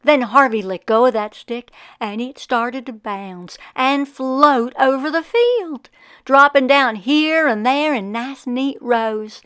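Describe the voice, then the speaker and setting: A woman plays a folksy farmer looking back on a magical summer in a storybook. The voice is fairly high and smooth, in a close, dry recording. The telling is very slow, expressive and full of wonder. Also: dry recording. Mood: awed, scared. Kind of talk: storybook first-person narration.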